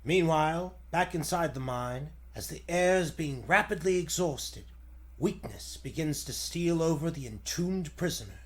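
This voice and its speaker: A male narrator in the manner of a 1940s radio serial. He tells the story dramatically, at a slow, measured pace with lively swings of intonation. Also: on the high side, a little hiss or hum.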